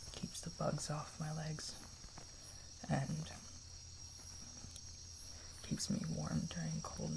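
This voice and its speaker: A man playing a kind, protective camper in an ASMR roleplay, speaking in a soft, breathy half-whisper with a hesitant, reassuring manner. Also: a little high, full character voice, poor audio. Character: kind, protective young male camper comforting a stranger.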